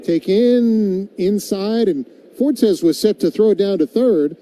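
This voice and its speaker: Mellow-toned male baseball radio announcer. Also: high-pitched, slow. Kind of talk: live radio baseball play-by-play with casual banter. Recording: muffled broadcast with steady background noise.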